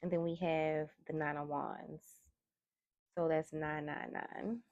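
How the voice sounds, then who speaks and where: A soft-spoken woman doing a tarot card reading, talking in a slow, musing way. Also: deep voice, flat delivery, no echo, muffled recording. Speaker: tarot reader. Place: indoors at a table.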